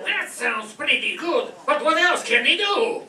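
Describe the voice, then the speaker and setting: A man's voice: very high-pitched, sing-song, a little slow, crowd noise, exaggerated voice. A man acting an earnest, struggling homeowner in a comic radio play on stage. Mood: doubtful, curious. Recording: echoey stage, distant mic.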